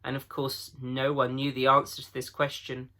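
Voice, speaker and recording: A man, a teacher, giving an expressive storybook narration for children. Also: medium pitch, moderate pace. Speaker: teacher reading aloud.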